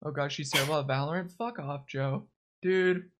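A woman's voice: low voice, moderate pace, background noise. A woman hosting a live stream, chatting casually with a guest, teasing and swearing often. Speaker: streamer host.

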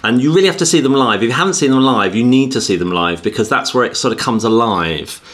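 A man's voice: mid-range voice, expressive, fast talker, fairly bright tone. A man hosting a casual music podcast, chatting and interviewing in an easygoing way with lots of agreeing interjections. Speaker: podcast host.

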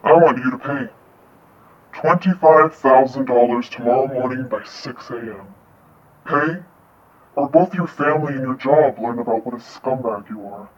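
An actor playing a cold, threatening anonymous blackmailer with a masked voice on a phone call in an audio drama. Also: male voice, mid-range voice, moderate pace, far from the mic. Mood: menacing. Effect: masked voice over the phone. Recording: echoing, dull, noisy phone call.

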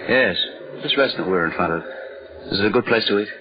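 An actor playing a sardonic, obsessed private detective, clipped and commanding, in 1940s radio style. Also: male voice, on the low side, quick pace, a little hiss or hum, lightly acted. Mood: curt, probing. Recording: muffled old-time radio recording.

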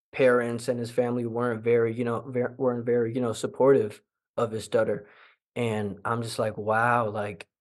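A young man being interviewed who speaks with a stutter, halting often and filling pauses with many fillers. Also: mid-range voice. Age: young adult. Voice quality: stutters with repetitions. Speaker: interview guest. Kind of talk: conversational interview.

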